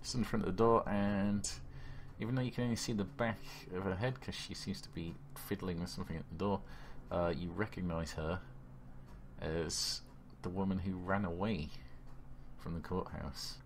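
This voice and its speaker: A man with a low voice runs a tabletop horror game as game master. He describes scenes conversationally, improvising as he goes, with faint noise in the background. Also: steady tone, normal speed.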